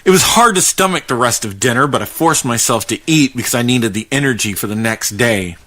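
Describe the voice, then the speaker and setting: A man narrates a horror story in the first person at a middling pitch. His delivery is conversational and a little halting, and he leaves dramatic pauses between phrases. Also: animated, a bit bright. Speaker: podcast host reading a horror story.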